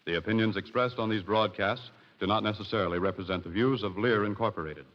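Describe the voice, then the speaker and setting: Low-voiced American radio announcer, man. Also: fast talker. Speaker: radio announcer, sponsor spokesman. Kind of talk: 1940s radio announcing, scripted sponsor message. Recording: muffled 1940s radio broadcast.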